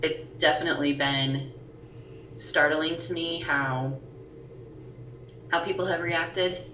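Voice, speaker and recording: A woman in her forties with a deep, scratchy voice, co-hosting a casual podcast chat and often pausing to search for words. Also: steady tone, pushed and bright. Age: 40s. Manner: pauses and searches for words, frequent ums. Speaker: podcast co-host. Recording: roomy, slightly distant mic with faint ambient noise.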